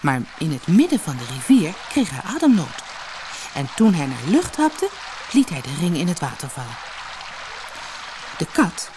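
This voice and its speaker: A woman reading a fairy tale as an audiobook storyteller, expressive and measured. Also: on the low side, slight background noise. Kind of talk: scripted fairy-tale narration.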